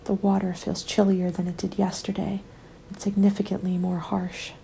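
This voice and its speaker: A woman narrating a scripted first-person ASMR story in a soft, measured, often whispery delivery. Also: mid-range voice, flat delivery, vocal fry, a little hiss or hum, rough recording. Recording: background music under the voice. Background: music.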